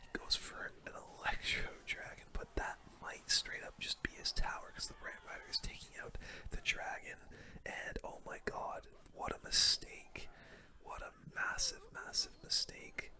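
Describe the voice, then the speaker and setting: A man whispering casual, slangy gaming commentary in a breathy ASMR style, close to the microphone. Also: pushed and bright. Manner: casual, slangy gamer talk. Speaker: gaming video host.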